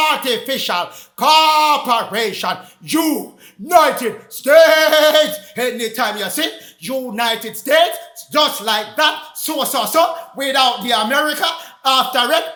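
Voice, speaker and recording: A man preaching in a loud, sing-song shout, firing off rhetorical questions. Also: very high-pitched, roomy sound.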